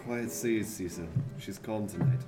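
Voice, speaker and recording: A man playing a steady, watchful sailor in a radio drama, with measured, commanding nautical speech. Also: on the low side, loud music behind the voice, lightly acted. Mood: calm. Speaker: actor.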